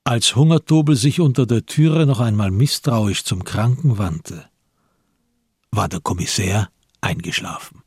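A man narrates a radio drama in measured literary prose. His voice sits low and has a husky grain. The recording is dry and close. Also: no room sound.

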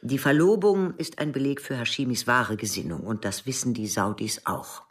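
An actress playing a motherly, educated intelligence chief in her early sixties in a radio drama, speaking in a measured, deliberate way. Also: very deep voice, recorded from a distance. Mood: controlled, matter-of-fact. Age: older. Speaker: actor in radio play. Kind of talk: German radio drama dialogue.

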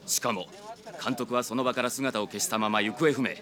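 An actor as a keen junior man in an anime radio drama. He reports in a mid-pitched voice, urgent yet polite to his superior. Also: fairly even intonation, a little fast, a bit bright, natural voice.

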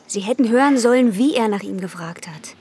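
A woman's voice: mid-range voice, lively intonation, brisk, darkish tone, lightly acted. An actress playing a guarded, worried young waitress and mother in a radio crime drama. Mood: anxious, pleading. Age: young adult.

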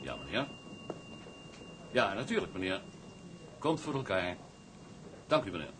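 A deferential hotel receptionist in a television drama. He is formal and clipped in a lowish, varied voice. Loud noise runs behind him. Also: sing-song, unhurried.

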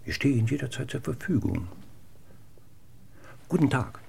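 An actor playing a courteous, measured, persistently probing police inspector in a 1960s German radio play. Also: male voice, mid-range voice, very animated, vocal fry. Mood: courteous, pointed. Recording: noisy 1960s radio recording, clicks.

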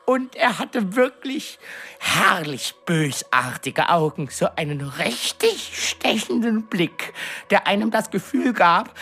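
An actress playing a small, fat, fawning demon servant with pompous, flowery courtly speech in a radio drama. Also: female voice, mid-range voice, a bit bright, breathy edge, full character voice. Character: small fat warty green demon servant, fawning, cheeky, self-important.

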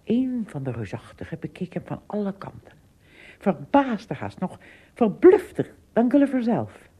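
A woman narrating an adventure tale in a radio play, with expressive, dramatic phrasing and a husky, breathy voice. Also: mid-range voice, moderate pace, muffled recording. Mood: suspenseful storytelling. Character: female storyteller of an adventure tale.

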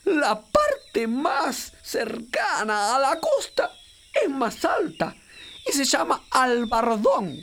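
Excitable high-pitched talking capybara. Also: female voice, a little hiss or hum, heavily acted. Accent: Rioplatense Argentine. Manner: theatrical, exclamatory, explains patiently. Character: chubby furry talking capybara, excitable, knowing river guide. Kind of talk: children's radio drama acting.

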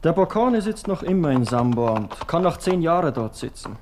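Easygoing Austrian army comrade. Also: male voice, fairly high pitch, sing-song, normal speed, a little mellow, a little hiss or hum. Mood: easygoing, wry. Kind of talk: radio drama dialogue.

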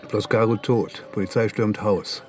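An actor playing a cynical, quietly menacing intelligence agent in a radio crime drama, dry and insinuating. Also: male voice, fairly low pitch, fairly even intonation, measured pace, lightly acted.

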